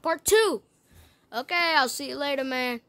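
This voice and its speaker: High voice, slow pace, casual homemade vlog, informal, hesitant delivery. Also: bright, forceful tone. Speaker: video creator. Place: family home.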